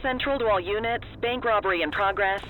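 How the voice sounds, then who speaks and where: An actress playing a brisk, authoritative police dispatcher in a video game, clipped and procedural over the radio. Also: female voice, medium pitch, background noise. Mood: firm, controlled.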